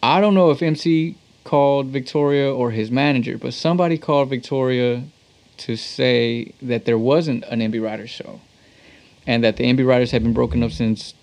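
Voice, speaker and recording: A man's voice: mid-range voice, moderate pace, a little mellow. A man from Texas, a musician, telling stories in a casual interview in laid-back, slangy street talk. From Texas, United States. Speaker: musician, talk box artist being interviewed. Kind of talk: casual interview, anecdotal storytelling.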